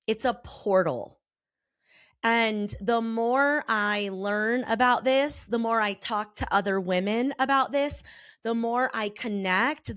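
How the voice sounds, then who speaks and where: A slightly hoarse woman in her forties, a therapist hosting her own podcast, talking in a candid, emphatic way with casual swearing. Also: on the high side, a bit bright, dry recording, studio quality. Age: 40s. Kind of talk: personal podcast monologue. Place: Colorado.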